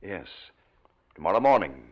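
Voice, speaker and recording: A man's voice: low-pitched, very animated, normal speed. A man acting a kindly, reassuring hospital doctor who hems as he talks to a patient in an old radio drama. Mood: upbeat. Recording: muffled old radio recording.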